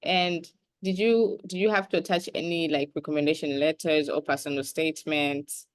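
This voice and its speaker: A woman interviewer asking questions in a casual, soft-spoken way with many fillers. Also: sits low, steady tone, normal speed, dry recording.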